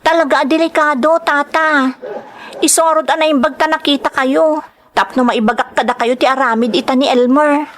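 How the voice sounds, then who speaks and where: A woman's voice: high voice, steady tone, moderate pace, close to an everyday voice. A woman playing a worried young woman in an audio drama, with an Ilocano accent, telling her family's story. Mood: concerned. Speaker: actor in a radio-style drama. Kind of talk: dramatized narration and dialogue. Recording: clear background noise.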